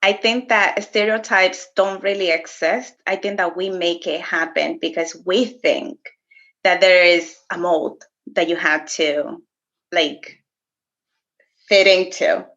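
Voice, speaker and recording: Animated woman chatting, slightly muffled. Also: lowish voice, takes it slowly. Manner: animated, laughs often, code-switches briefly. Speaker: podcast co-host. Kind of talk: casual conversation.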